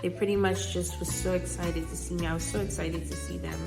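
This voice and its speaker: A woman's voice: on the low side, flat delivery, brisk. A woman in her twenties, a new mother, talking upbeat and chatty to her viewers in a casual vlog, filmed on a phone in a car. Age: 20s. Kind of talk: casual vlog talk to camera. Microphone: phone camera. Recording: loud background music over voice.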